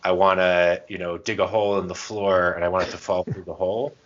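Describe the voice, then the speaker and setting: A man's voice: low-pitched, flat delivery, brisk. A man hosting a podcast in casual, informal conversation, prompting his co-host as he goes. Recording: dull, lo-fi voice recording.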